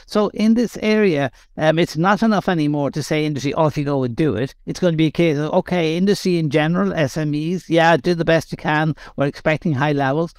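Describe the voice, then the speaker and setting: Male guest expert, slightly high voice, steady repetitive explaining, dry room. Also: fairly quick, hardly any pauses, clear, resonant tone, dry recording. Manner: steady explanatory, repeats phrases for emphasis. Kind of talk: conversational interview.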